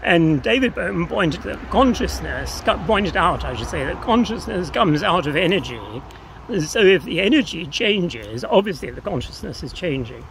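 Older guy explaining stuff thoughtfully outside, high-ish creaky voice, clear background noise. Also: lively intonation, normal speed. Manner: tentative, philosophical, hedging. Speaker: interview guest. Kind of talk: reflective explanatory interview talk. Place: outdoors on open grassland by a path. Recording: outdoor recording with clear ambient noise.

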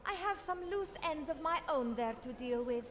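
An actress playing a headstrong young daughter in a video game, indignant and firm. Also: female voice, high-pitched, lively intonation, moderate pace. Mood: defiant, angry. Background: music.

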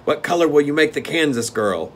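A man voicing a gruff male gnome steward who growls and scolds his master bluntly. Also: fairly high pitch, expressive, brisk, pitched above the performer's own voice. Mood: gruff, scolding.

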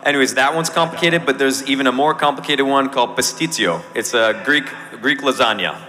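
Male panelist, bright middle register, casual talk, hall reverb. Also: medium pitch, a little fast. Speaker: panelist, video game voice actor. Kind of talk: casual convention panel chat. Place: on stage in a large hall at a convention. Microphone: handheld microphone. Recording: echoey hall PA.

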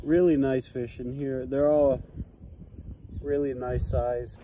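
A man's voice: mid-range voice, flat delivery, slow. A young man chatting casually as he fishes from a kayak on a lake, talking aloud about his day, recorded on a chest-mounted action camera. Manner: laid-back, chatty, thinking aloud. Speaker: fishing vlogger. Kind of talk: casual outdoor vlog. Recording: faint wind and water noise.

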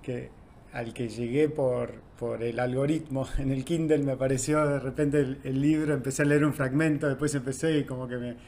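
A man's voice: mid-range voice, fairly even intonation, normal speed, darkish tone. A man in his forties with an Argentine accent, hosting a video call interview in a casual, hesitant way. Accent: Argentine Spanish. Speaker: podcast host. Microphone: video call with earbuds.

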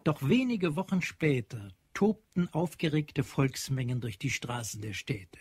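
A man narrating a radio play from a script in a measured, literary, dramatic manner. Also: medium pitch, lively intonation, darkish tone, breathy edge, no echo. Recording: dry studio with faint music.

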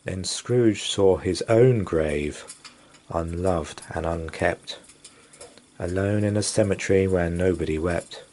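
A man reading a rhyming children's story aloud as a storyteller, with deliberate, theatrical expression. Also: deep voice, a little mellow. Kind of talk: dramatic rhyming bedtime story narration.

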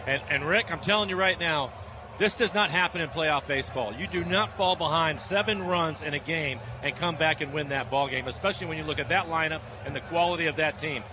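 A man's voice: on the high side, fairly quick, noisy background. A man giving emphatic postgame analysis as a radio color commentator, repeating his points for stress.

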